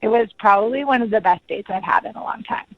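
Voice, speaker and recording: Female phone call participant, mid pitch, muffled line, casual chatty delivery. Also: quick pace. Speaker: person called on phone.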